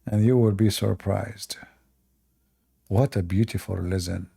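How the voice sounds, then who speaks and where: A man's voice: fairly low pitch, fairly even intonation, a little slow, creaky, warm tone, slightly rough. A man hosting a live-stream debate, talking to the chat in a sarcastic, mocking way. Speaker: livestream debate host.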